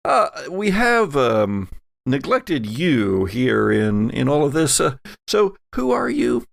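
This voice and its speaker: Man acting amiable, inquisitive FBI agent, lifted pitch. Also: very animated, slow pace, vocal fry, no echo, clean studio sound, heavily acted. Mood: curious. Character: amiable male FBI agent questioning people.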